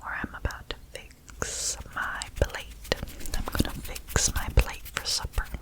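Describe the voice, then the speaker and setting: Southern woman, young adult, whispered ASMR read, slow and drawn out, repeats her words. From Southern United States. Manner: slow, repetitive trigger words with nail tapping. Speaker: ASMR creator.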